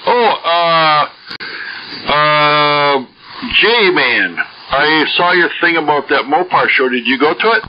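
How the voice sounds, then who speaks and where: A man's voice: fairly high pitch, expressive, slow pace, muffled recording. A man with an American Midwestern accent chatting casually over two-way radio, rambling and thinking aloud. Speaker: amateur radio net participant. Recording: noisy band-limited shortwave radio transmission.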